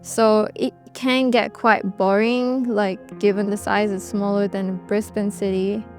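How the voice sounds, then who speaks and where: A woman's voice: medium pitch, measured pace, soft background music. Reflective young woman. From Bangladesh, raised in Singapore. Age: 20s. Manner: relaxed, reflective, with frequent ums and restarts. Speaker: documentary subject. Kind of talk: interview recollection.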